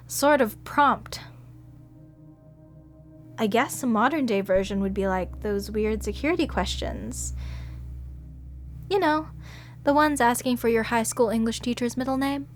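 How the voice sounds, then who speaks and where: A young woman voicing a sweet, eager, airheaded museum curator in an audio roleplay drama, wide-eyed and excitable. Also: fairly high pitch, a little slow, long pauses, faint music behind, lightly acted. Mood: trusting.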